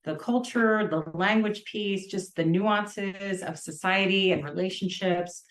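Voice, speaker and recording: A woman's voice: medium pitch, unhurried. A woman clinical director hosts a live stream in a mid-range voice. She sounds welcoming and affirming toward her guest. The room around her is noticeably echoey. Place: Tampa, Florida.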